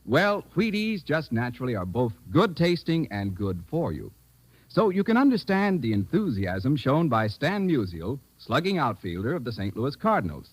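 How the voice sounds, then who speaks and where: An American man reading a folksy sponsor pitch as a 1940s radio announcer. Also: sing-song, moderate pace, husky, no room sound, poor audio.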